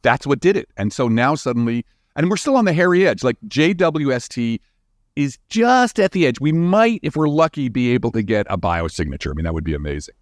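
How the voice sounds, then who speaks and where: Animated man explaining science, very lively pitch. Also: a little fast, no echo, clean studio sound. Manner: informal, enthusiastic. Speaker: guest scientist.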